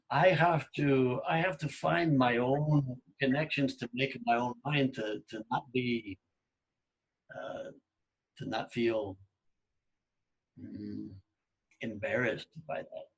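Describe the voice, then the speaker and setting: Middle-aged guy hosting a chat podcast, husky voice, lots of stops and restarts. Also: mid-range voice, fairly even intonation, dull audio. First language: English. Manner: halting, many restarts and fillers. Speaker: podcast host. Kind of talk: casual conversation.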